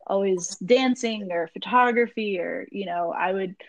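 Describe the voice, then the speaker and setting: A woman's voice: medium pitch, unhurried, no echo. Chatty young woman, dry sound. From Jacksonville, Florida. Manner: rambling, many ums and likes. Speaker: magazine founder, guest. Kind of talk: casual interview chat.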